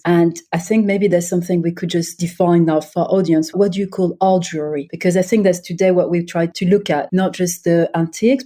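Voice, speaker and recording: A woman hosting a podcast, reading a scripted introduction and then interviewing a guest in a measured way. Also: on the low side, mellow, dry recording, studio quality. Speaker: podcast host, magazine editor.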